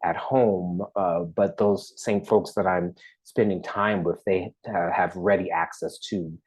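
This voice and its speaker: A man in his forties from the East Coast talks with a fairly low voice, restarting his sentences often as he thinks aloud. His delivery turns lively when a topic excites him, then settles back down. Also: slightly rough. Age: 40s. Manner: halting, many restarts, lively when enthused. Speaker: documentary artist guest. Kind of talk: casual interview talk.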